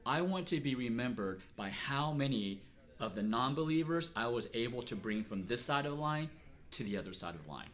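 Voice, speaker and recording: A middle-aged man from Texas, speaking earnestly and at a measured pace as he is interviewed about his life and work. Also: mid-range voice, animated, fairly bright tone. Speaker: interviewee, acupuncturist. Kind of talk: reflective personal interview.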